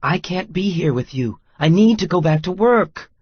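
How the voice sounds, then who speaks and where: A woman voicing an exasperated, guarded young love interest in a romance audiobook, with a breathy voice. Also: on the low side, animated, moderate pace, no echo, muffled recording. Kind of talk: acted audiobook dialogue.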